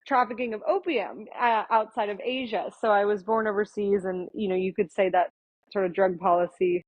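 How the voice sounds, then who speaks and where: A woman in her thirties, a lawyer guest on a podcast, talking reflectively in a relaxed conversational way with many fillers and restarts. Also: medium pitch, normal speed, no echo, lo-fi sound. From born in Thailand, raised in Florida and India. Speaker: guest, attorney.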